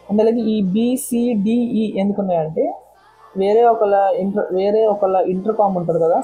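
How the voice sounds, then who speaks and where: A woman's voice: medium pitch, dark tone, husky, low-quality recording. A woman vlogger giving a casual, step-by-step product walkthrough, often repeating words as she explains. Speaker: motorcycle vlogger and product reviewer.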